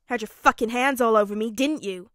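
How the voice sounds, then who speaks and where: A woman voicing a gruff, foul-mouthed teenage boy, hot-tempered with grudging softness, in a story reading. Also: mid-range voice, fairly even intonation, unhurried, no room sound, clean studio sound, natural voice. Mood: angry, scornful. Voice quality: growly, grumbling delivery. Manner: curt, brusque, sweary. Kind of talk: acted audiobook-style fan fiction reading.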